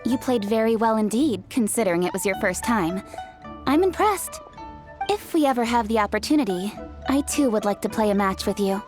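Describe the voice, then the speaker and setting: A woman's voice: on the high side, lively intonation, fairly quick, lightly acted. A woman playing a dignified, gracious young noblewoman in a video game, speaking in a polite, self-assured, formal way. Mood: composed. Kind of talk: video game character acting. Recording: music behind.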